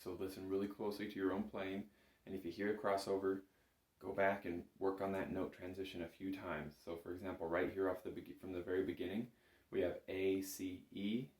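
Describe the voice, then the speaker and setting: A man with a low voice teaches music informally in a roomy, echoing space. He explains each step conversationally, in an encouraging and modest manner. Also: little pitch movement, a little fast, darkish tone. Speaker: bagpipe instructor. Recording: roomy, echoing indoor sound.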